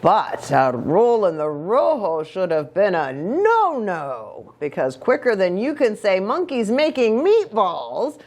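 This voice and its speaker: A woman reading a storybook aloud to children in an expressive way, as a pastor who engages her listeners. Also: high-pitched. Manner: expressive, asks the listeners questions.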